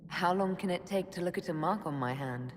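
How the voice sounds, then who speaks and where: A woman's voice: fairly low pitch, a little fast, close to an everyday voice. An actress playing a composed, earnest female army leader in a video game, speaking directly and with restraint. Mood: questioning, direct. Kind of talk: video game dramatic dialogue.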